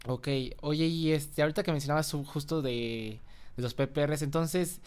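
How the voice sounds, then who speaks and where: A man's voice: on the high side, fairly even intonation, normal speed, darkish tone, smooth. A Mexican man interviewing a guest, asking questions hesitantly with many fillers as he thinks aloud. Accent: Mexican Spanish.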